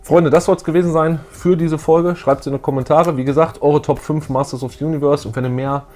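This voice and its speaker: A man's voice: on the high side, fairly even intonation, brisk, a little mellow, faint music behind. A middle-aged man, chatting casually as a co-host in a fan show. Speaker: YouTube co-host. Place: small room full of collectibles, indoors.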